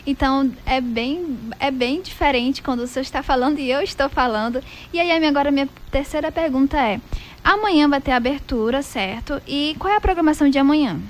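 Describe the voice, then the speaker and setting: A woman's voice: high voice, a little fast, a little hiss or hum. A woman with a Brazilian Portuguese accent hosting a radio studio interview and asking polite, formal questions. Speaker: radio host.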